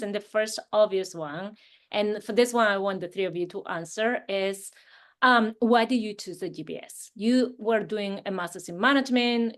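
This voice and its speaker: A woman, an assistant dean, moderating an online alumni panel over a video call, with frequent hesitant fillers. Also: medium pitch.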